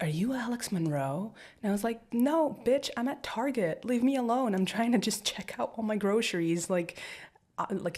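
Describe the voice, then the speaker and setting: A young woman playing an anxious, protective girlfriend in a listener-directed audio roleplay, rambling and stammering, often sinking into hushed whispers. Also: mid-range voice, lightly acted. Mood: chatty.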